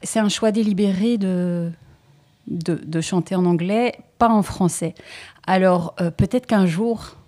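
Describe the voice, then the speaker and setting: A woman singer being interviewed about her album, who speaks thoughtfully with frequent hesitations and pauses. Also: on the low side, dark tone. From Belgium. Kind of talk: relaxed interview conversation.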